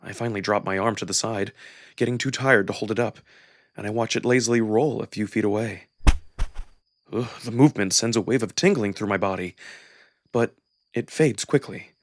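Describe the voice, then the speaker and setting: A man's voice: fairly low pitch, moderate pace, pauses often, no echo. Dry, close-sounding male story narrator. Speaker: narrator and performer of a visual novel. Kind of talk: dramatic first-person story narration.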